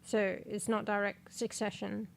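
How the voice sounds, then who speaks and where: A congested woman chatting casually on a livestream, rambling, swearing and breaking into sudden loud exclamations as she reads things aloud. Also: mid-range voice, little pitch movement, unhurried, creaky, a little hiss or hum. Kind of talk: casual stream chat, reading aloud.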